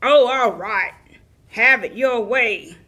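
A woman's voice: fairly high pitch, takes it slowly, pushed and bright, full character voice. A woman voicing a silly, muddled, cheerful puppet wolf who tells children stories in a scatterbrained, singsong way. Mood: goofy, cheerful. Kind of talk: children's puppet theater storytelling.

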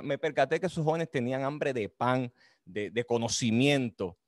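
A middle-aged man with a Caribbean Spanish accent speaks at a medium pitch on a video call with very little room echo. He presents like a teacher telling a story, deliberate and reflective as he explains. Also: clean studio sound. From Dominican Republic. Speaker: author and history teacher presenting his book. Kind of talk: book talk, explanatory storytelling.

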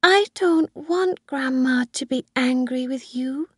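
Woman putting on a high, timid little girl. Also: slow pace, a bit bright, husky, dry recording, studio quality, heavily acted. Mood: quiet, worried.

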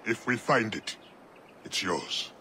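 Low-voiced, proud assassin leader. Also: male voice, animated, a little hiss or hum, heavily acted. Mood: earnest, commanding. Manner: measured, formal, sardonic. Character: proud, stern freedom-fighter assassin leader, dry-humoured. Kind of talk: dramatic video game acting. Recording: loud music behind.

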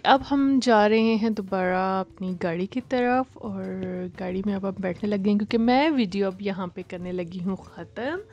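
A woman narrating a casual family day-out vlog in a cheerful way, often mixing English phrases into her speech. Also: mid-range voice. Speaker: vlogger.

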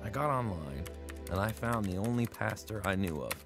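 A man's voice: on the low side, animated, normal speed. A man in his twenties narrating his own story reflectively in a radio drama. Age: 20s. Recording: narration over music.

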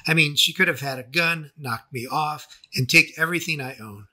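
A man reading a scripted first-person memoir as its narrator, with a husky voice. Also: on the high side, normal speed, bright, forceful tone. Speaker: author and narrator.

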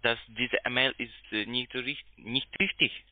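A man with a foreign accent, a call centre agent speaking halting, broken sentences and repeating himself. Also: medium pitch, fairly even intonation, no room sound. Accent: foreign accent in German. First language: not German. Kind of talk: phone conversation. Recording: dry narrow phone line.

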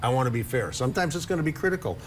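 A man's voice: mid-range voice, lively intonation, rapid-fire, slight background noise. A man in his sixties, a blogger who has lived in Upper Michigan for decades, talks in a friendly, casual way as a guest in a TV studio, often repeating a word as he starts a sentence. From Upper Michigan, USA. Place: TV studio with couch set. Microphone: lapel mic.

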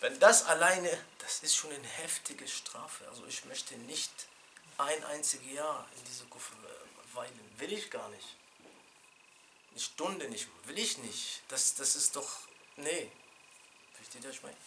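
A middle-aged man teaching an informal religious lesson in a small room, animated and chatty, laughing with his students and restarting his sentences. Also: mid-range voice, a little slow, background noise. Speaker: sheikh teaching a Quran class. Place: small indoor classroom.

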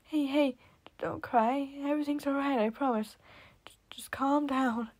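A woman voicing a gentle, caring young boy with cat ears, speaking softly and reassuringly in a raised voice. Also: normal speed, close to an everyday voice. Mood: soothing. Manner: soft, reassuring, higher and brighter than the narration.

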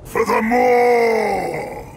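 Bellowing gravelly ogre brute. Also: male voice, extremely high pitch, extremely slow, shouting, very noisy, heavily acted. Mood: bloodthirsty, aggressive. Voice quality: gruff, gravelly. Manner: bellowing short blunt war cries. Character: huge, brutish, ravenous ogre warrior, male. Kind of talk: video game unit battle barks.

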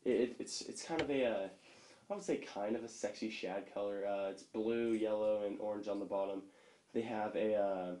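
A man's voice: fairly low pitch, moderate pace, dark tone. A young man hosting a fishing channel, casually reviewing lures at a table and rambling with lots of fillers and restarts. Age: young adult. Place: small room indoors, seated at a table. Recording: roomy echo, faint background noise.

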